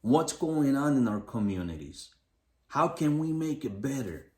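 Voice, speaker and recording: A man's voice: mid-range voice, very animated, measured pace, warm tone. A man from the Los Angeles area co-hosting a community podcast, talking in an upbeat, motivational way with repeated phrases. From Los Angeles area, United States. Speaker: podcast co-host. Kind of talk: casual community podcast chat. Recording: echoey room.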